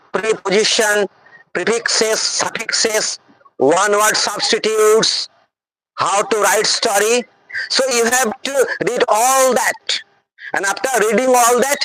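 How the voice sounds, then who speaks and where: A woman's voice: mid-range voice, fairly bright tone, a little hiss or hum, poor audio. A woman with a Bengali accent, a native Bengali speaker, giving an earnest motivational English lesson and repeatedly checking that her audience understands. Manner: repetitive, earnest, addresses audience directly. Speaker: English teacher.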